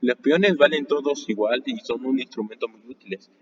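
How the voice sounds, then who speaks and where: A man reading a nonfiction book aloud in a live session, at a measured pace with the odd restart. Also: mid-range voice, noisy background. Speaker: reader of a book. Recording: distant mic, long reverb, dull lo-fi sound.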